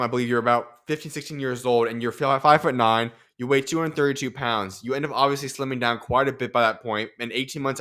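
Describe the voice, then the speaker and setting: A man's voice: mid-range voice, a bit bright. A young man hosting a boxing interview from a studio desk microphone, asking long, detailed questions. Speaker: host.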